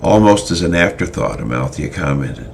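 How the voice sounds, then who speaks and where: A very deep, gravelly male voice reads a story aloud in a halting way and often restarts his sentences. A faint hiss sits behind him. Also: very animated. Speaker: storyteller reading his own tale. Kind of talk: semi-dramatic reading.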